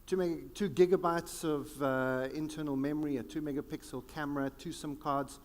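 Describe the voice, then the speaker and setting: A South African man giving a conference keynote, with dramatic pauses and a sweeping, emphatic delivery. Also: a little high, steady tone, long reverb tail. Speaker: keynote speaker. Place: large conference hall.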